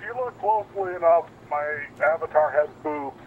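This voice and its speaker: A man's voice: on the high side, moderate pace. A man from the United States chatting casually with other gamers over online voice chat. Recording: tinny voice-chat line, noisy, distant.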